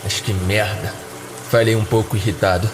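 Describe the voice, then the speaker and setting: Man, Brazilian accent, low voice, scripted horror storytelling, first person, clear music bed. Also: fairly even intonation, normal speed. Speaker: narrator of a horror podcast.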